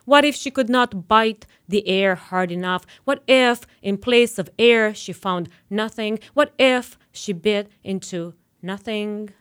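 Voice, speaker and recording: A woman poet from Romania with a Romanian accent, talking haltingly in an interview and then reading her poems slowly and carefully. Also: medium pitch, clean tone. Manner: hesitant, restarts often when speaking freely; slow and deliberate when reading poems. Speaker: poet guest. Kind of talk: interview talk and poetry reading.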